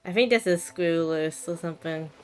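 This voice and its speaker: A woman's voice: on the low side, normal speed, a little hiss or hum. A woman streaming a game with casual, playful, musing reaction chat.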